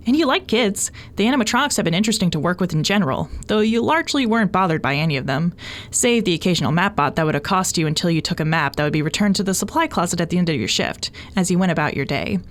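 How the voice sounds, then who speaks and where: Low-voiced woman reading a story. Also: slight background noise. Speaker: podfic narrator. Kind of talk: expressive scripted story narration. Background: faint music.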